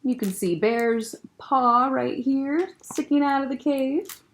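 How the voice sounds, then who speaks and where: A woman who is a children's librarian, reading a picture book aloud to children in a gentle, expressive way and pointing out the pictures. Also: sits high, slow, slight background noise.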